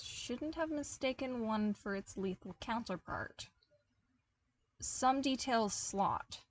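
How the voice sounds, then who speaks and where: A woman reading a fan story aloud as a podfic, narrating with animated, emphatic bursts. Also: mid-range voice. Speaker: podfic reader. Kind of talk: expressive fanfiction narration.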